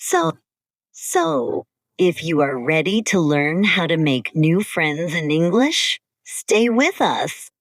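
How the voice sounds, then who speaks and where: A woman co-hosting an English-learning podcast, speaking slowly and carefully in simple scripted sentences. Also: fairly low pitch, very animated, no room sound, studio quality. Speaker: podcast co-host.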